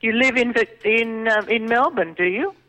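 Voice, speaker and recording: A woman's voice: fairly high pitch, moderate pace, fairly bright tone. A woman working as a helpline operator, patiently asking a caller questions over the phone. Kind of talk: phone call.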